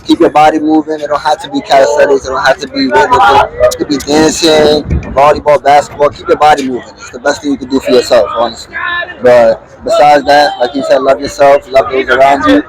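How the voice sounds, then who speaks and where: A man's voice: medium pitch, steady tone, brisk, poor audio. A man, a calisthenics athlete, giving laid-back, encouraging advice in a casual street interview. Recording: outdoor crowd chatter.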